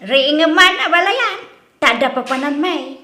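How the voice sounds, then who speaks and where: A woman's voice: high-pitched, animated, a little slow, sharp tone, reverberant, exaggerated voice. A woman with an Ilocano accent playing an insistent female in-law in a comedy drama. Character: insistent female in-law dropping by to fetch someone. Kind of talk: Ilocano comedy radio-style drama acting.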